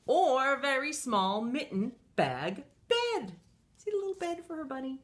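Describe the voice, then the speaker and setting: A woman's voice: high-pitched, sing-song, measured pace. A middle-aged woman reading a picture book aloud to young children in a playful, sing-song manner. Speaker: children's storytime reader.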